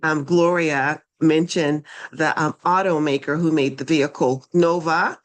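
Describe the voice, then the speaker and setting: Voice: mid-range voice, fairly even intonation, measured pace. Co-host on a live webinar, playful, short interjections.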